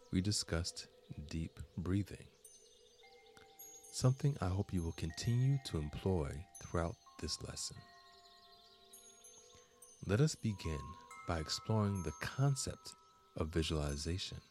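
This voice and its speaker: A man narrating a scripted guided meditation as host, in measured, deliberate phrases with frequent pauses. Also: low-pitched, a little mellow.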